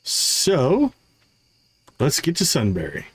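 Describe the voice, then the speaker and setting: A man's voice: a little high, huge pitch swings, slow, breathy edge. A man giving casual live gameplay commentary, thinking aloud as he counts off items and talks through his tasks. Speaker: live streamer, gaming host.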